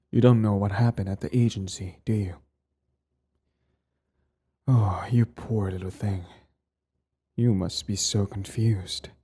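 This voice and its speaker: A man playing a cold, sardonic villain with secret tenderness in an intimate ASMR roleplay, his voice low, husky and breathy. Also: steady tone, warm tone, heavily acted. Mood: condescending, tender. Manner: slow deliberate delivery, sardonic, condescendingly affectionate. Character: cold, mocking male villain, protective ex-hero, secretly tender.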